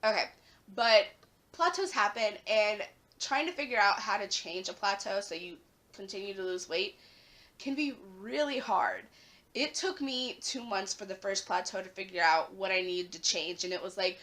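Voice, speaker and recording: A young woman vlogging casually to her camera at home, her voice raspy and a little hoarse after the flu, chatting with plenty of "like" fillers. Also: mid-range voice, moderate pace, fairly bright tone. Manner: chatty, lots of "like" fillers, rising emphasis.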